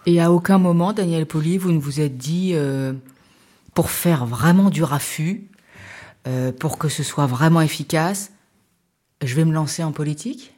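A woman's voice: sits low, measured pace, dark tone. A woman interviewing a guest on radio, asking direct, probing questions.

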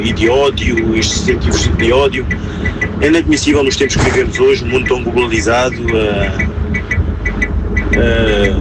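A man's voice: medium pitch, low-quality recording. A man from Portugal with a Portuguese accent leaving a voice message for a radio show, hesitant and full of fillers and restarts. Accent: European Portuguese. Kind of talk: recorded voice message. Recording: voice message with loud traffic noise.

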